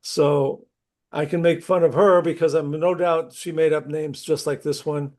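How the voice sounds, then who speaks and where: A middle-aged man, a university instructor, opening an online class session while losing his voice from a cold, talking conversationally and clearing his throat. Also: on the high side, steady tone, a little slow, a little mellow. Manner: conversational, clears throat often, restarts sentences. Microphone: computer mic on a video call.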